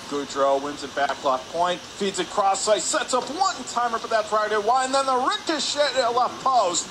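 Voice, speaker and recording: A man's voice: sits high, lively intonation. A man calling live radio hockey play-by-play in clipped phrases from an ice rink. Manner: clipped rapid phrases, rising on shots. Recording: clear background noise, arena ambience.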